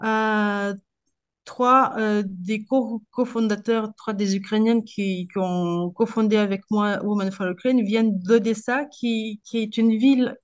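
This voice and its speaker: Woman from moldova, talks slow w lots of searching for words, guest at a debate. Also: mid-range voice, fairly even intonation. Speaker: guest speaker, association founder. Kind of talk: public debate interview, spontaneous speech.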